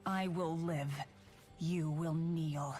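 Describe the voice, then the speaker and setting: An actress playing a stern, righteous warrior of light in a video game, solemn and commanding. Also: female voice, low voice, steady tone, drawn-out words, over music. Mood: solemn, defiant. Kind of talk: scripted video game voice lines.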